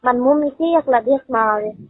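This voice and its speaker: A woman's voice: high voice, fairly quick, no echo. A woman with a Gujarati accent chatting casually and intimately on a phone call, affectionate and fond of pet names. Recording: tinny, narrow phone-call line.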